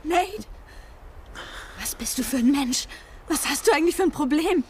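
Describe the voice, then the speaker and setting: A woman's voice: high voice, animated, normal speed, a little hiss or hum. An actress playing a frightened, reproachful young woman on the run, urgent and often whispering, in a German radio crime drama. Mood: upset, reproachful.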